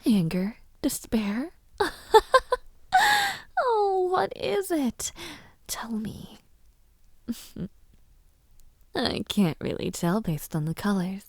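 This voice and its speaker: A woman playing a haughty young villainess princess in an audio roleplay, mockingly sweet and menacing, teasing and condescending in a breathy near-whisper. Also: fairly low pitch, no room sound, exaggerated voice. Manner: slow, teasing, condescending, with soft taunts. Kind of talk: audio roleplay addressed to the listener.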